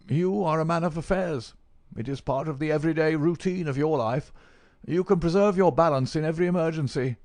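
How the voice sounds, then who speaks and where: Man acting elderly bookish professor, high-pitched for him, mincing, plaintive, effusive, frail invalid, storybook character. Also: a little mellow, no room sound, studio quality, natural voice. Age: older. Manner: mincing, precise, plaintive, ornate phrasing. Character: gaunt old male professor, bedridden, effusive bookworm.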